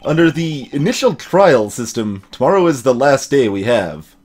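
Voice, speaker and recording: A man's voice: mid-range voice, very animated, moderate pace, darkish tone. A man voicing an earnest, determined young male defense attorney from a video game. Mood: explaining. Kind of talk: video game dialogue reading.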